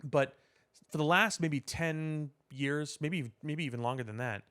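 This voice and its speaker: A man's voice: a little high, measured pace, a bit bright. A man co-hosting a casual pop culture podcast, explaining his points in long, rambling sentences. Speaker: podcast co-host.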